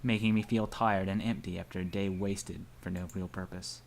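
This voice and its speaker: A man's voice: sits low, little pitch movement, normal speed, creaky. A man playfully narrating a visual novel aloud for a gaming video.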